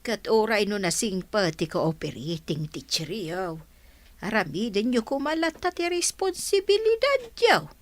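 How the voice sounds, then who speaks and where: A woman with a Filipino accent acting a caring old village grandmother who gently gives advice in a radio drama. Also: medium pitch, very animated, unhurried, dry recording. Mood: caring, advising.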